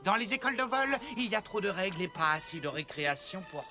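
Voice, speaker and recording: A man's voice: sits high, expressive, quick pace, over music. A man voicing a smug young male pony bully in a cartoon dub, sneering and taunting.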